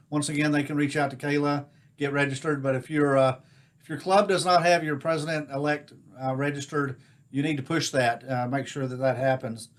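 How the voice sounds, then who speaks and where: An American man speaking informally as host of a virtual club meeting, giving announcements in a halting, rambling, folksy way. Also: mid-range voice. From United States. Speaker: Rotary district governor hosting online club meeting. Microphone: video call.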